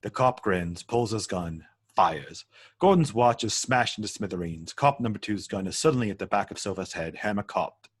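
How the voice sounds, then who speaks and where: A man's voice: lowish voice, lively intonation, moderate pace, breathy edge, no room sound, studio quality. A man reading scripted stage directions as narrator of a table read.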